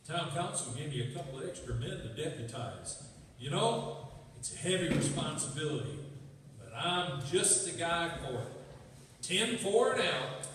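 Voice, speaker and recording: A man's voice: on the high side, fairly bright tone. A man playing a folksy, self-important small-town sheriff in a live radio play. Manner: folksy rustic grammar. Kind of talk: live radio theatre acting. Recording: echoey noisy hall, distant mic.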